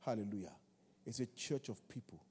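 A man's voice: on the low side, expressive, fairly quick, warm tone. A man preaching a sermon in a church, repeating key phrases in a rhythmic call-and-response way. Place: large church hall. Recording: long church hall reverb.

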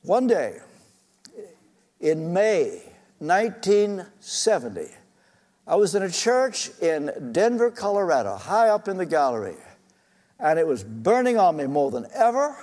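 Older male pastor, slightly high voice, earnest storytelling sermon, long church reverb. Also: big pitch swings, unhurried, a little mellow. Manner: earnest, personal, shifting pace with frequent uh fillers.

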